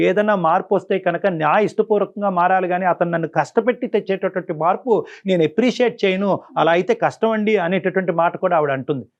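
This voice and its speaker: A man giving emphatic advice as a counsellor on a talk show, repeating words for stress, in a dull, muffled recording. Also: high-pitched. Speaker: family counsellor.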